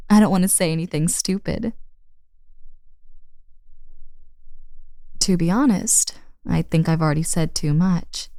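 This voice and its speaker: A woman's voice: on the low side, dark tone, no echo, clean studio sound. A woman playing a friend's teasing, tired older sister in a casual, intimate roleplay audio drama addressed to the listener. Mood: guarded, evasive. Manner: casual, playful, sighing, drawn-out words.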